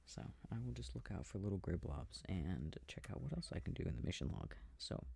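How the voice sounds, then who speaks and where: A man speaking softly and breathily, often near a whisper, quietly musing to himself in an ASMR sleep-aid style while playing a video game. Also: deep voice, sing-song, fairly quick, creaky, breathy edge. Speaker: audio roleplay performer. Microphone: close microphone. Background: game controller clicking.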